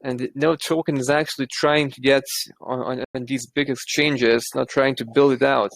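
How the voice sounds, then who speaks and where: Gravelly-voiced man with a Lithuanian accent. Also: medium pitch, fairly even intonation, moderate pace, no room sound. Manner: thoughtful, restarts often. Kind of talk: casual chat.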